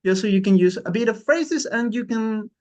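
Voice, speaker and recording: A man's voice: high-pitched, lively intonation, normal speed. A man teaching an informal online English lesson on a class call, encouraging and often repeating himself for emphasis.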